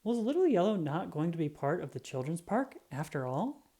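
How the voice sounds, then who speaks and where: A woman reading a children's book aloud in an animated, expressive storytelling style. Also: on the low side. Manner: expressive, drawn-out emphasis on sound words.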